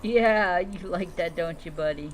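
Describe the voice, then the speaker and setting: A woman streamer role-playing in character as a dragon rider in a game, speaking haltingly and repeating words. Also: on the low side, a little hiss or hum, rough recording. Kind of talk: in-character game roleplay storytelling, talking to pet creatures.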